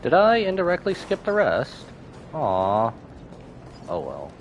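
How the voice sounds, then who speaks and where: A man's voice: mid-range voice, huge pitch swings, slow pace, slight background noise. A man live-streaming a game with casual commentary, musing aloud in short reactions over game music. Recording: music behind the voice.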